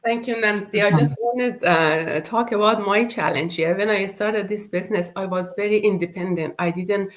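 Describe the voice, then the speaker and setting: A woman hosting a casual group chat, speaking in a halting way with many fillers. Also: medium pitch, poor audio. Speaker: host.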